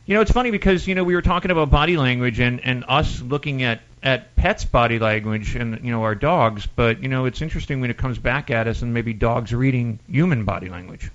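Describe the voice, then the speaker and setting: A male radio host runs a call-in show about pets. He talks quickly and briskly, handing callers over to his guest. The sound is a little dull, without crisp highs. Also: fairly bright tone.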